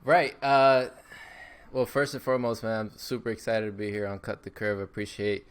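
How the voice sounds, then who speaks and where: A man's voice: lowish voice, little pitch movement, fairly quick, clean tone. A man in his thirties from Miami, chatting as an upbeat podcast guest with lots of fillers. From Miami, Florida. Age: 30s. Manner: upbeat, with frequent fillers and repeats. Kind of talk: casual interview chat.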